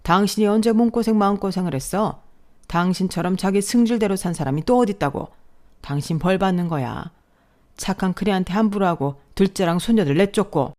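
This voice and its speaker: A woman voicing a gruff, exasperated older father-in-law who scolds bluntly and loudly. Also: mid-range voice, a little fast, close to an everyday voice. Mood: angry scolding.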